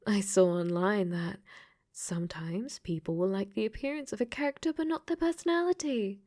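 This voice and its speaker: A woman voicing a clingy, possessive AI dating-sim girl in a soft, coaxing, teasing ASMR roleplay that drops into whispers. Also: medium pitch, moderate pace, close to an everyday voice.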